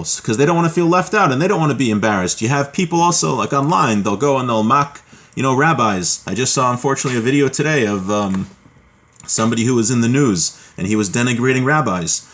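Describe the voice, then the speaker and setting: A man with a medium-pitched voice teaches a religious text class. He reads passages aloud and then explains them. His delivery is halting, with repeated words and restarts as he works through the material.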